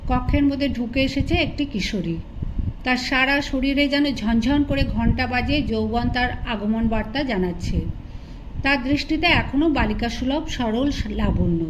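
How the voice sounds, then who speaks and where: A woman narrating a literary audio story in an expressive reading style. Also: on the high side. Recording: dull lo-fi recording with loud noise.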